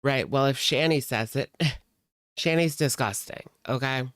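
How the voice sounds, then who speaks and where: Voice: medium pitch, a little slow, a bit bright, no room sound, clean studio sound. A streamer giving casual live commentary in a blunt, sarcastic, conversational way. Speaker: reaction streamer.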